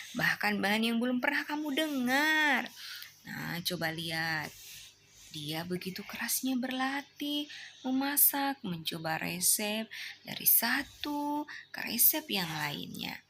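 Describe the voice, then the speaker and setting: A woman's voice: on the high side, sharp tone, a little hiss or hum. A young woman at home, reading a storybook aloud to her child, often whispering and asking the child questions.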